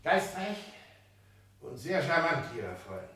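A man's voice: fairly high pitch, sing-song, unhurried, bright, forceful tone, slight background noise, exaggerated voice. An actor playing a genteel, proud count and father in a 1960s German radio drama, speaking in a formal, polished way. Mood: gracious, urbane.

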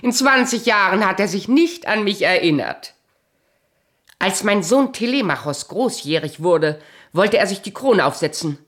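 A proud, bitter queen in a radio drama. Her voice sits at a middle height and moves widely up and down, cool and imperious, with a calculating, sharp tongue. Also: mid-range voice, expressive, measured pace. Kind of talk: German radio play acting.

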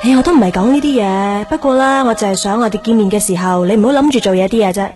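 An actress playing an affectionate young girlfriend who gently complains, coaxing and chatty in Hong Kong Cantonese radio drama. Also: mid-range voice, fast talker, natural voice. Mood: concerned. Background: background music.

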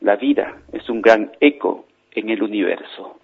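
A man voicing a gentle, wise father teaching his small son in an oral tale, measured and solemn. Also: lowish voice. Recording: dull band-limited radio phone line.

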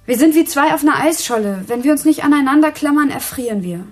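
A woman's voice: high voice, animated, fast. An actress playing a young working-class woman in a radio drama, defensive and frightened, with coarse, hesitant colloquial speech. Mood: subdued, earnest. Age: young adult. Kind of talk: naturalistic radio drama acting.

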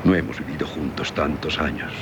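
An actor playing a middle-aged, devoted and sorrowful doctor husband in a radio drama, solemn and theatrical, with a Castilian Spanish accent. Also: male voice, rumbling low, a little fast. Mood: sorrowful resignation. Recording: slightly noisy 1970s radio recording.

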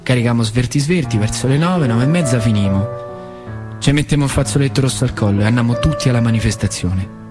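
A male actor plays a plain-spoken working man from a small factory, telling his memories as a stage monologue. His accent is rural central Italian, from Lazio, and he speaks colloquially, circling back over his words. His voice sits at an ordinary male pitch, with faint music behind. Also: a little fast, close to an everyday voice. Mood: wry, matter-of-fact. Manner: colloquial, repetitive, dwelling on words. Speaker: stage actor in a solo narrative play. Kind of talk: theatrical monologue storytelling in colloquial dialect.

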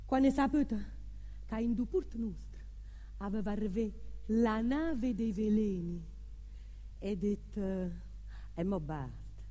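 A woman's voice: mid-range voice, measured pace, pauses often, mellow, lightly acted. An actress playing a fiery working-class mother of eight with a southern Italian accent, in a theatrical dialect monologue. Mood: hushed, recounting. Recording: live music alongside the voice.

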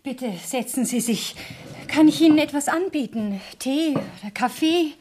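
A woman's voice: high-pitched, normal speed, darkish tone, natural voice. An actress playing a guarded mother in a radio crime drama, polite yet defensive in her answers. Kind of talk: 1970s German radio crime drama acting.

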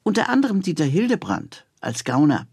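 High-voiced husky male presenter, very dry sound. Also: sing-song, fairly quick, a little mellow, no room sound. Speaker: presenter introducing a radio series. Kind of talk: scripted introduction.

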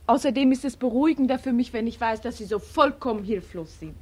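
A woman's voice: on the high side, quick pace, a little mellow, breathy edge. A woman playing a lonely soldier's wife in a 1940s radio drama, theatrical, swinging between teasing and tender pleading. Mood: brisk, teasing. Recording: old 1940s radio studio recording.

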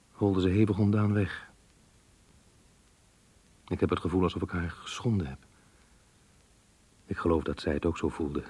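An actor playing a remorseful middle-aged man reading out his diary confession in a radio play, grave and measured. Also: darkish tone, no echo. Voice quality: low, husky. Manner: grave, measured, literary diction. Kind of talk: Dutch radio play monologue.

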